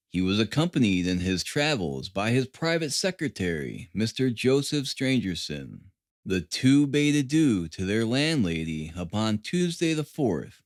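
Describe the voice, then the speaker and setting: Man narrating a classic novel, lively pitch. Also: dry recording, studio quality. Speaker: audiobook narrator.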